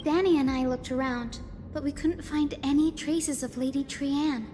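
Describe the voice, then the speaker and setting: A woman's voice: high-pitched, fairly even intonation, normal speed, close to an everyday voice. An actress voicing an earnest, troubled young girl in a video game. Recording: long reverb, music under the voice.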